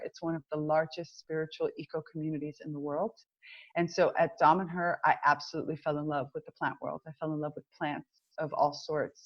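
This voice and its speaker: A middle-aged Cuban American woman with a Cuban American accent, speaking as an interview guest in a measured, reflective way about spiritual ideas. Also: low voice, highs cut off. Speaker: mentor, guest. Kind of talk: interview conversation.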